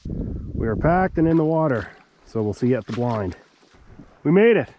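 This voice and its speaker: A man's voice: mid-range voice, very animated, normal speed, dark tone, dull audio, a little hiss or hum. Stuffed-up man on a marsh. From Nova Scotia, Canada. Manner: chatty, trailing off with "so" and "um". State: stuffed up from a cold. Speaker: hunting vlogger, channel host. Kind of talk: casual outdoor vlog narration. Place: outdoors at a tidal marsh.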